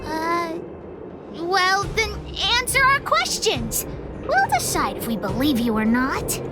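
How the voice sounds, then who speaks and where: A tiny, self-important girl detective in a video game. Her voice is very high and childish, with slow, emphatic, lively delivery and grand boasts. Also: loud music behind the voice, heavily acted. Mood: accusing, stern. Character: bossy, boastful little girl playing detective leader.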